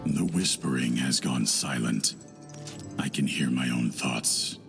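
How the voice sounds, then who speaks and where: A grim, gravelly knight. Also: male voice, a bass voice, slow pace, a bit bright, exaggerated voice. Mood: solemn. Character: grim, brooding male knight. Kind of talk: video game voice acting. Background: game music.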